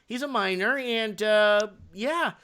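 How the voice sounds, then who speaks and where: A man's voice: high-pitched, takes it slowly, pushed and bright, clean tone. A man hosting a live call-in stream, chatting casually and cutting in with offhand remarks. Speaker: host of a live late-night call-in stream. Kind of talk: casual chat.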